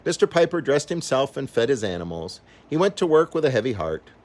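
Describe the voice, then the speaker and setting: An older man reading a children's story aloud in an expressive, animated way, acting out the characters. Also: medium pitch, fairly quick. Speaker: storybook reader.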